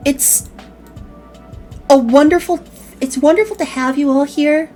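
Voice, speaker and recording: A woman's voice: high voice, a little slow, a little mellow, faint music behind. A woman host with a slight Chicago accent, chatting animatedly on an online talk show, often restarting her words. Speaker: podcast host. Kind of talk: casual online chat show.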